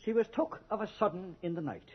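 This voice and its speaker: An actor playing a wheedling, falsely humble Irish body snatcher with menace underneath, in a 1980s radio drama. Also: male voice, high voice, animated, quick pace, husky. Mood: oily politeness. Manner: obsequious, wheedling, veiled threats. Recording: dull lo-fi radio broadcast.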